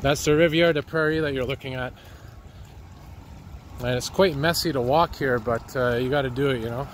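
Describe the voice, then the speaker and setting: A man, slightly hoarse from a cold, narrating as he walks by a flooded river with a handheld camera. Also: medium pitch, moderate pace. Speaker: citizen video reporter. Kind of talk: walking commentary. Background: street and water noise.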